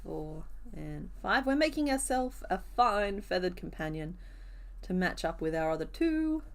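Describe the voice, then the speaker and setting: Woman host, crafting live stream, voice a bit hoarse today, chatty and easygoing with her chat. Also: fairly low pitch, sing-song, a little slow. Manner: chatty, answers viewers' comments as she works. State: rough, hoarse voice. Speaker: crochet streamer. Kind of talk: casual live-stream chat while crocheting.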